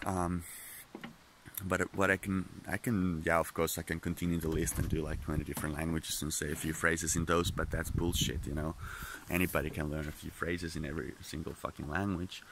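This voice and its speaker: A man with a low, rough, fry-laden voice talks straight to the camera in a loose, profane monologue. He keeps stopping, restarting and wandering off track, and English is not his first language, since he grew up speaking Finnish. A light hiss of background noise sits under his voice. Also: a bit bright. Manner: candid, profane, meandering with many restarts. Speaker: vlogger.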